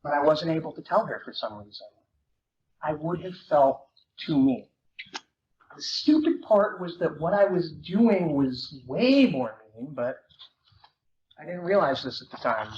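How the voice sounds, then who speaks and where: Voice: medium pitch, expressive, a little slow, pauses often, darkish tone, voice pushed down, lightly acted. A performer reading as an awkward teenage boy who narrates his comic confession in a wry, self-deprecating way. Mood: wry, rueful. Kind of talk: dramatic reading of a first-person novel. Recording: muffled, noisy, echoey room recording.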